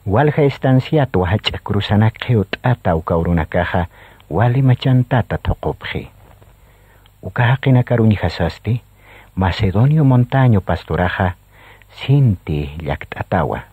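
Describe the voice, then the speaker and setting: A man's voice: lowish voice, expressive, unhurried, dry recording. A man reading scripted narration for a radio drama. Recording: dull, husky-sounding recording, faint music. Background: faint music.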